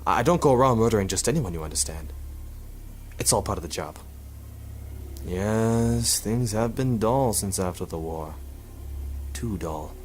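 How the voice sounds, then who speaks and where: A man playing a coolly proud 1950s hitman in a noir radio drama, confiding in a deliberate, wry way. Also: fairly low pitch, animated, darkish tone, slight background noise, lightly acted. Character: coolly proud 1950s hitman photographer narrating his confession.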